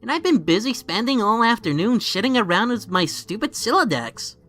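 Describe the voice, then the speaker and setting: A woman's voice: on the high side, very animated, normal speed, a bit bright, faint music behind. A woman playing an earnest, easily frustrated young teenage boy in a webcomic fan dub, hesitant and informal.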